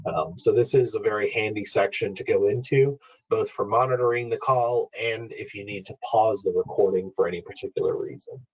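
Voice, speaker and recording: A man presenting an informal, step-by-step software walkthrough in an explanatory, instructional way, as a webinar trainer. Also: fairly low pitch, brisk, slightly rough, muffled recording. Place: online webinar screen-share.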